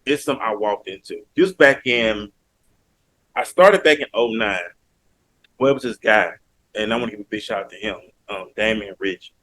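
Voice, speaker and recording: A man's voice: lowish voice, moderate pace, a bit bright, no echo, rough recording. A man from Alabama with a Southern accent, talking earnestly and emphatically as a podcast guest. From Mobile, Alabama, US. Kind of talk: casual interview talk.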